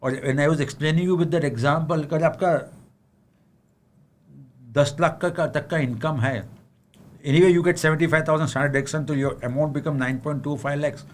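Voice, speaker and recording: A man's voice: a little high, steady tone, racing, slight background noise. A middle-aged man with an Indian English accent answers viewer questions about the budget, speaking frankly with many fillers and restarts, adding tag questions and sometimes switching into Hindi. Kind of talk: livestream Q&A on the budget.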